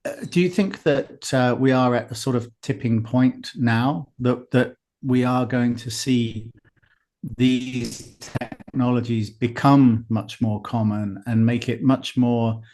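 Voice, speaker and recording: A man's voice: medium pitch, fairly even intonation, takes it slowly, a little mellow. Male podcast host asking questions. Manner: hesitant questions with fillers. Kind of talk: interview questions and podcast outro.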